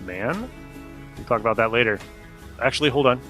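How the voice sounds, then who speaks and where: A man's voice: medium pitch, expressive, brisk, bright, forceful tone, soft background music. A man hosting a podcast, giving a casual, chummy introduction. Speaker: podcast host.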